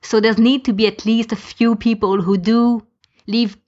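A woman's voice: fairly high pitch, little pitch movement, normal speed, a little mellow. Woman chatting on a lo-fi podcast. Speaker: podcast speaker, fan podficker. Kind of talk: casual podcast discussion. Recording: dull lo-fi voice recording.

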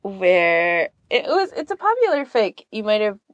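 A woman hosting a podcast, chatting and telling stories in an informal, animated way. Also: mid-range voice, measured pace, no room sound, muffled recording. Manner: informal, animated, laughs easily. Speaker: podcast host. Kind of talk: casual chat, anecdotes.

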